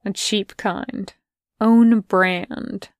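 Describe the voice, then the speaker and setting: A woman voicing a tired, sardonic young man in an audio fanfic reading, speaking in a dry, slow deadpan. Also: medium pitch, dry recording. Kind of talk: podfic character voice.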